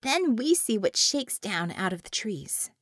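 A woman's voice: medium pitch, very animated, measured pace, no echo, studio quality. A woman voicing a confident, sly superheroine leader in an audiobook, with an assured, coaxing and emphatic delivery. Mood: frank.

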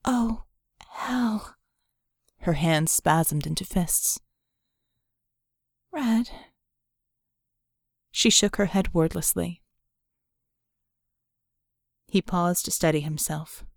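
A woman's voice: low-pitched, fairly even intonation, slow, creaky, pauses often, no room sound, clean studio sound. A woman reading scripted fiction as an audiobook narrator.

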